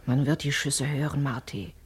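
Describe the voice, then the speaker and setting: An actress playing a killer's anxious, pleading girlfriend in a crime radio play, speaking in a hushed, uneasy way. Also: extremely low pitch, fairly even intonation, moderate pace, husky, full character voice. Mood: nervous, doubtful.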